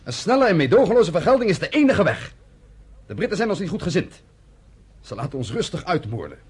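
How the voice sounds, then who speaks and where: Fiery hardline leader in a radio play, highish husky voice, talks fast. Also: male voice, very animated, heavily acted. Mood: hard, indignant. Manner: vehement, emphatic. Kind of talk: Dutch radio play acting.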